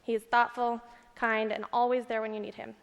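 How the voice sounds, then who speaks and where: A woman's voice: a little high, level tone, brisk, creaky, dull audio. A woman reading a prepared tribute speech aloud at an awards ceremony, recorded in an echoing hall. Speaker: staffer reading remarks on another's behalf. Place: large indoor event hall. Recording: echoey hall with long reverb.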